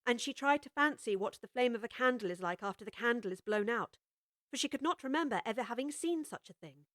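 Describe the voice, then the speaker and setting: A woman reading scripted storybook narration in a measured, expressive way. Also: on the high side, no echo, studio quality. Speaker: audiobook narrator.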